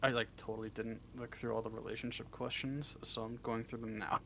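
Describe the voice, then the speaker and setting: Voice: mid-range voice, flat delivery, fairly quick, fairly bright tone, slight background noise. An interview guest chatting casually on a livestream, joking and self-deprecating, with some swearing. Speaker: interview guest, script writer.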